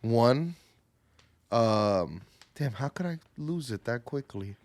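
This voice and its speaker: A man's voice: medium pitch, expressive, takes it slowly, crowd noise. A man co-hosting a podcast in a casual group discussion. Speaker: podcast co-host.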